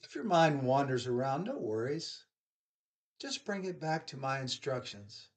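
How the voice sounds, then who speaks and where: A man leading a guided yoga nidra relaxation, giving soothing, unhurried instructions with pauses. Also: medium pitch.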